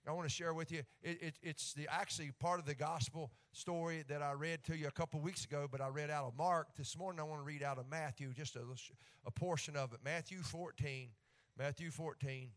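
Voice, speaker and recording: A man with an Appalachian accent preaching a sermon in a folksy, joking way that rises to fervent emphasis when he reads scripture. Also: a little high.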